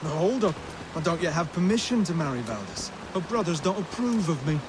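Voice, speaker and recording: Ernster, unsicherer Sachsenkönig, hellere Männerstimme, englischer Akzent. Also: male voice, on the high side, sing-song, brisk, background noise. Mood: earnest, calm. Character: earnest, unsure young Saxon king, weary but hopeful. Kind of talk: video game cutscene acting.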